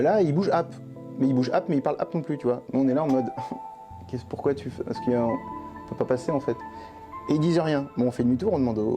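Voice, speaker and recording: Slangy young guy telling a story. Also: mid-range voice. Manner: slangy, swearing, animated anecdote telling. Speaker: YouTuber. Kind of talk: casual storytelling to camera. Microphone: face camera.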